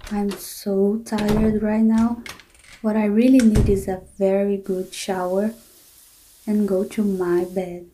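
A young woman plays an unhappy office worker, grumbling her way through the day in a slow, drawn-out voice that sounds very dark and mellow. She talks as an inner monologue in an animated short film, weary and flat, and a faint hiss sits under her words. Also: mid-range voice, natural voice, rough recording. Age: young adult. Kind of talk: scripted inner-monologue narration.

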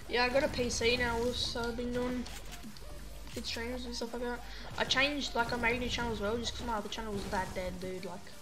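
A woman's voice: a little high, little pitch movement, a little fast. A woman streaming a game and chatting casually, rambling with lots of fillers and slang, her voice keeps giving out. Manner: rambling, filler-heavy, laid-back slang. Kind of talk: casual live-stream chat while gaming. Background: background music.

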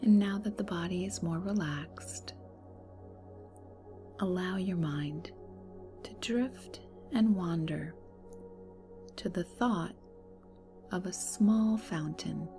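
A woman leading a guided meditation in a soft, breathy, soothing and unhurried way. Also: lowish voice. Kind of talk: slow guided meditation narration. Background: meditation music.

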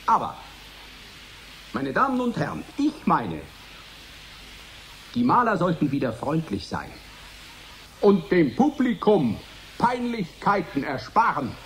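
Eindringlicher Redner, sehr bewegte Stimmlage. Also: male voice, on the high side, huge pitch swings, takes it slowly, long pauses, fairly bright tone, a little hiss or hum, heavily acted. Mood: emphatic. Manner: emphatic, rhetorical, repeating phrases. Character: male painter giving a provocative public speech, insistent. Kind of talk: declamatory radio drama oratory.

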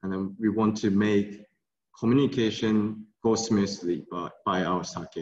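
Young Japanese-accented man, halting and slow, low voice, roomy dull video call audio. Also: fairly even intonation. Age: young adult. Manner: halting, repeats words, soft laughs. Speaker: brewery guest. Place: tasting room with bottle shelves.

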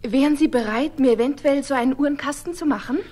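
A woman's voice: sits high, quick pace, dark tone, lightly acted. A woman playing an elegant, polite and effusive customer with a genteel manner in a children's radio play. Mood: grateful, effusive. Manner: refined, genteel.

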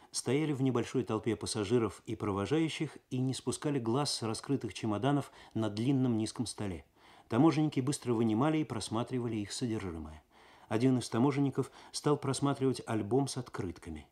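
A man narrates in the manner of a 1970s radio story broadcast. His medium-pitched voice is measured and expressive, telling the tale with dramatic care. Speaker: narrator, actor reading a story.

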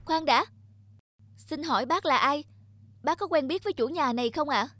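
A woman's voice: sits high, normal speed, fairly bright tone, slight background noise. A woman voicing a polite, curious and caring young woman in a cartoon. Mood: determined, curious. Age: young adult. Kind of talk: cartoon voice acting.

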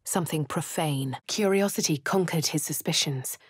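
An actress voicing a posh female adventurer with an English accent, speaking in a breathy, often half-whispered way. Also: sits low, moderate pace, no room sound. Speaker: voice actor for a player character. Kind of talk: video game voice lines. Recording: dry studio booth.

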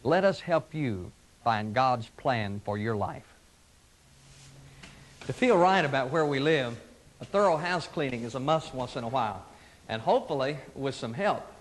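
Earnest middle-aged preacher pitching church. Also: male voice, animated, unhurried. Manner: earnest, gentle, inviting delivery. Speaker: pastor. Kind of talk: scripted television ad pitch. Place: studio sets and church rooms, indoors. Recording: 1980s local TV ad audio.

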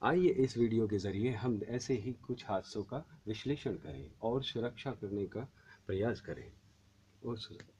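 A man's voice: fairly low pitch, animated, measured pace, darkish tone, a little hiss or hum. A man in his thirties reading scripts aloud for a voice-over audition into a studio microphone. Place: small indoor recording studio booth. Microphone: studio condenser mic with pop filter.

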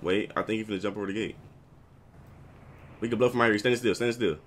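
A man's voice: on the low side, quick pace. A man roleplaying a gang member in an online game, giving terse reports in heavy street slang. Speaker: player in a crime roleplay game.

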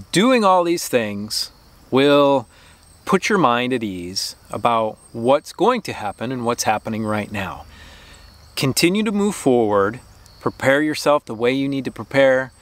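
A man's voice: mid-range voice, a bit bright, no echo. A man in his thirties, host of a homesteading channel, talking earnestly to the camera in his backyard garden with a preachy, exhortative manner and emphatic repetition. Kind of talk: earnest faith-based vlog talk to camera.

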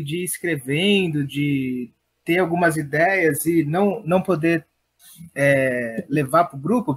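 A man's voice: a little high, breathy edge. A man with a São Paulo Brazilian accent speaking casually as an interview guest, hesitant and often checking in as he explains. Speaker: guest, theatre director and playwright.

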